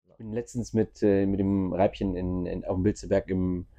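A man's voice: deep voice, flat delivery, normal speed, mellow. A man hosting a podcast, asking eager questions and chatting casually. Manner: eager questioning, many backchannels. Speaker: podcast host. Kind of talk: casual chat.

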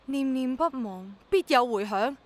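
An actress playing a spirited young woman in a radio drama, with a colloquial, expressive Hong Kong Cantonese delivery. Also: high voice, unhurried. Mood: earnest encouraging. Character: spirited young woman, hesitant but willing rain girl.

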